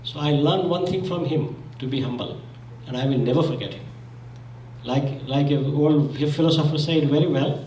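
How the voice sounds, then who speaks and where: A man's voice: fairly high pitch, normal speed, warm tone, a little hiss or hum. Earnest man from Zambia, echoey hall. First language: Gujarati. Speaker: speaker at a memorial meeting. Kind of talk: memorial speech. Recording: echoey hall, mic from far off.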